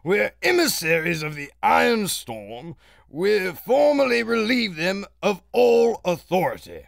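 An actor playing a pompous, blustering aristocratic lord who makes halting speeches in a theatrical audio adventure serial. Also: male voice, high-pitched, sing-song, creaky, no room sound, clean studio sound, full character voice. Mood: pompous, formal.

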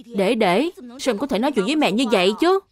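Woman playing an inquisitive young boy, high voice. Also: lively intonation, quick pace, natural voice. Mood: puzzled. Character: earnest, questioning young boy, older brother. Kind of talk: children's cartoon dub.

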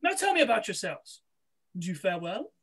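Male panto sorcerer, scheming, boastful, cackling, very animated, piercing tone. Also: mid-range voice, normal speed, husky, full character voice. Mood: oily, flattering. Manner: theatrical, cackling, gloating. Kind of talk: amateur pantomime read-through. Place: small room, on a video call. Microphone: computer mic on video call.

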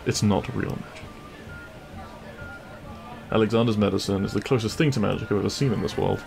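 Man acting a gravelly, flirtatious bandit prince. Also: lowish voice, steady tone, fairly quick, a little mellow, soft background music. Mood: reassuring.

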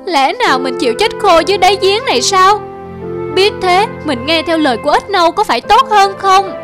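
A woman voicing a talking frog in a children's cartoon, with exaggerated, expressive delivery. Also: very high voice, fairly quick, over music. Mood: despairing. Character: two talking frogs, one whiny and rash, one cautious.

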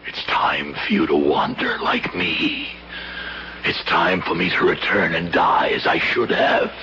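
An actor playing a sinister ancient male ghostly double, slow and solemn, in a vintage radio drama style. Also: low voice, animated, vocal fry, bright, forceful tone, pitched below the performer's own voice, full character voice. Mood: ominous. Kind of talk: 1970s radio mystery acting. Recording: muffled noisy 1970s radio recording.

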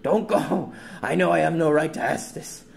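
A man's voice: a little high, normal speed, vocal fry, pitched above the performer's own voice. A man voicing a lonely, reclusive man who pleads while crying and stammering. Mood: pleading. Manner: desperate, stammering.

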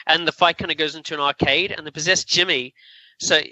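A man's voice: on the high side, steady tone, quick pace, bright, forceful tone, dry recording, low-quality recording. A man casually recapping a film plot as a podcast co-host.

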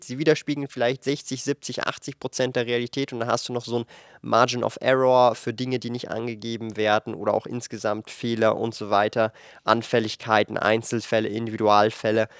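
A man in his late twenties talks frankly into a handheld microphone in a studio room, explaining numbers in a lively, thinking-aloud way with fillers. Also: medium pitch, no room sound. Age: 20s. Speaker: finance YouTuber. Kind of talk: casual explanatory commentary to camera. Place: indoor studio room with shelves of collectibles.

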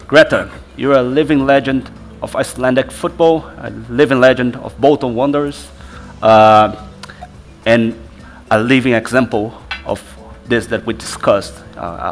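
A man moderating a conference panel, briskly introducing the next speaker on stage. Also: mid-range voice.

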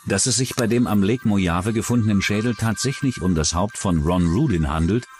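A man's voice: on the low side, a little fast, a little mellow, faint music behind. A man reading scripted true-crime documentary narration as the narrator.

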